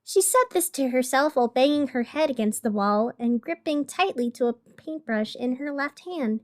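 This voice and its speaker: A woman's voice: high-pitched, lively intonation, normal speed, darkish tone. A woman playing a chatty, weary radio host of a gloomy fictional city, narrating in a conversational, dramatic, wry way. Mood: wry, downcast. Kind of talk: scripted fiction podcast narration as a radio broadcast.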